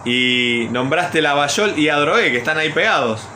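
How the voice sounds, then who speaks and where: A man's voice: fairly high pitch, expressive, moderate pace, bright, forceful tone, slight background noise. A man with a Buenos Aires Argentine accent, hosting an informal conversational interview. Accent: Buenos Aires Argentine Spanish. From Buenos Aires province, Argentina. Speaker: interviewer and program host.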